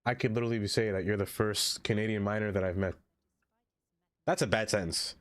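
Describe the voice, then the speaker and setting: A man's voice: lowish voice, brisk, dry recording, studio quality. Stuffy-nosed man chatting on stream. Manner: chatty, excitable, greets viewers by name. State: stuffed-up nose. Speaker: game streamer. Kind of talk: casual livestream chat, reading chat messages.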